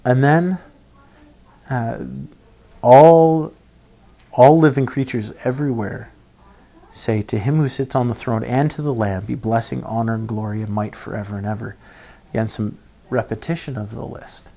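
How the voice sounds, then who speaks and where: A man giving an informal expository sermon as a preacher, halting and thinking aloud, often checking in with a short tag question. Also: mid-range voice, vocal fry, mellow. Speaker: preacher teaching a Bible passage.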